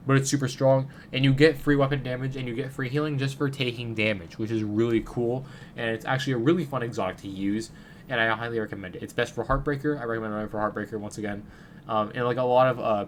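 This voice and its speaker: A man's voice: medium pitch, fairly even intonation, fast, slight background noise. Stuffy-nosed man rambling casually. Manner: rambling, many fillers and restarts. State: stuffy nose from a cold. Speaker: gaming video creator. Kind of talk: casual game guide commentary.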